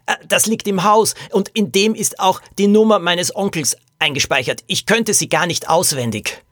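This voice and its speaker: A man's voice: high voice, normal speed, fairly bright tone, no echo, studio quality, pitched above the performer's own voice. A man voicing a small injured male jockey in a children's detective story, panicked, pleading and breathless. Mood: agitated, distressed. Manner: breathless, gasping, agitated.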